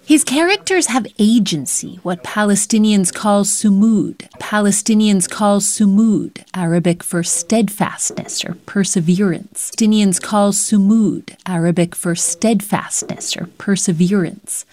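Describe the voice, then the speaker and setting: A woman narrates a news feature at a mid pitch. She is measured and explanatory, with an even delivery. Her tone stays steady throughout. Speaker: reporter.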